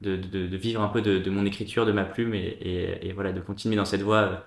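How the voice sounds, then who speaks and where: A man's voice: sits low, little pitch movement, fairly quick, vocal fry. A man hosting a casual round-table chat, hesitant, with many fillers and restarts. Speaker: host. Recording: echoey room.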